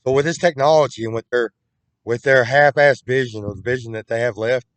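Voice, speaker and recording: A man's voice: mid-range voice, fairly quick, dry recording. A man talking in a rambling, repetitive solo monologue, with frequent restarts, recorded on a computer webcam.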